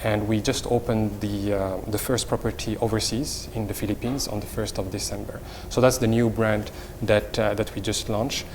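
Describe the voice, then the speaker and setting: A man's voice: lowish voice, little pitch movement, moderate pace, hardly any pauses, a little mellow, big-room sound. A middle-aged man with a Moroccan accent gives semi-formal interview answers, repeating words as he explains. His intonation stays fairly level, and his voice rings in a large room with faint background noise. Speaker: hotel company executive, interview guest.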